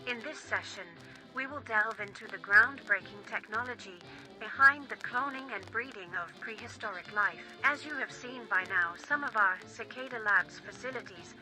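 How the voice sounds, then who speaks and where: A woman's voice: on the high side, normal speed, close to an everyday voice, poor audio. A woman playing a polished presenter, narrating a scripted corporate orientation video in a formal way. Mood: formal, welcoming. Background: music.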